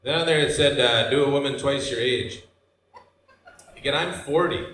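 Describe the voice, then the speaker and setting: A man in his forties from Michigan, with a Michigan accent, doing a deadpan stand-up set in a brewery with loose asides to the crowd. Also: mid-range voice, normal speed, slight background noise. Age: 40s. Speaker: stand-up comedian. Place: brewery stage before a live audience. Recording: roomy live venue sound.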